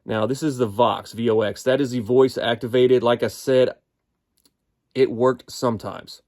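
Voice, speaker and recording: A man's voice: mid-range voice, fairly even intonation, normal speed, a little mellow. An American man giving a casual, unscripted gear review in a folksy, explanatory manner. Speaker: product reviewer.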